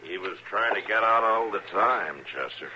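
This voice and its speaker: A calm, authoritative western lawman with a deep, forceful American voice. He speaks in terse, measured, dry phrases, on a slightly hissy old radio drama recording. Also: low-quality recording. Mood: dry, amused. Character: steady, authoritative frontier lawman, calm and reassuring. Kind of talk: 1950s radio western acting.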